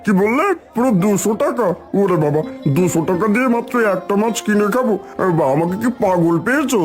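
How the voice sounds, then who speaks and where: A thrifty, fussy market customer from a cartoon folktale, played by a man. His voice is high and exclamatory, with faint music underneath. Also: animated, a little fast. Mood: indignant. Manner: haggling, exclamatory.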